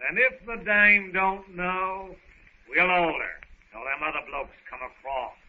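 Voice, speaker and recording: An actor playing a vicious, boastful Cockney pirate ringleader in a 1930s radio serial, on an old recording. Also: male voice, sits high, measured pace, dull audio. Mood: menacing, boastful. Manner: menacing, boastful, slangy.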